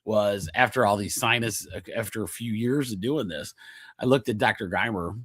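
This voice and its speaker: Middle-aged male podcast host, nasal and slightly husky, casual rambling health talk. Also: lowish voice, normal speed, fairly bright tone, no echo, studio quality. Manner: chatty, digressive, laughs often, checks in with "okay?".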